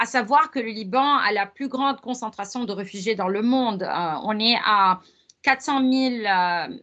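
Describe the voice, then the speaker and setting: A middle-aged woman speaking as an academic guest. Her voice sits a little high with a bright, forward tone. She explains earnestly, often pausing on fillers and restarting her sentences. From Lebanon. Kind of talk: conversational interview.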